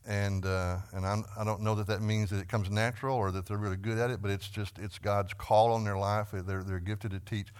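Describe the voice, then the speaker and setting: A man's voice: lowish voice, flat delivery, fairly quick, few pauses, smooth. A man with a Texan accent leading a church Bible class, talking earnestly with frequent restarts and repeated words. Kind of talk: conversational Bible class teaching.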